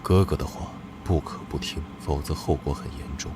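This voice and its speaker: An actor voicing a fierce, devoted young general in an animated drama, speaking in a commanding and possessive way. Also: male voice, a bass voice, moderate pace, noisy background. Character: fierce devoted general, young man, protective elder brother.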